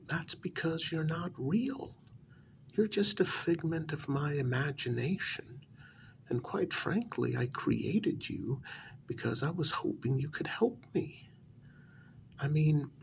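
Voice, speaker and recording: A man plays an unhinged, condescending male patient in an asylum ASMR role-play. His soft voice is thick with creaky fry and often sinks to a half-whisper. He talks extremely slowly and haltingly, in a casual, matter-of-fact way, over a faint background noise. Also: mid-range voice, exaggerated voice. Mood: condescending, probing, then weary, incredulous.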